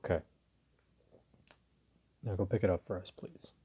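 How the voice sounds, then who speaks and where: Audio roleplay casting: male actor as a low-pitched male crime boss, gentlemanly, dominant, flirtatious, unhurried. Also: steady tone, breathy edge, heavily acted. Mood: calm, probing. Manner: polite, unhurried, teasing, hesitant phrasing. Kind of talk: intimate audio roleplay addressed to the listener.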